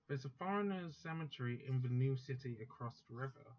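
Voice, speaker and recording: A man's voice: medium pitch, expressive, fast talker, close to an everyday voice. A man reading aloud the first-person narration of a proud teenage girl magus from a visual novel, in a plain voice that stumbles and corrects itself. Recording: dull lo-fi sound, faint music.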